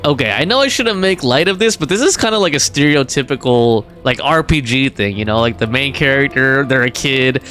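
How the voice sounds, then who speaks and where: A woman's voice: very deep voice, expressive, brisk, few pauses, fairly bright tone, soft background music. A woman doing casual live game commentary, slangy and wondering aloud as she reacts. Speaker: game streamer.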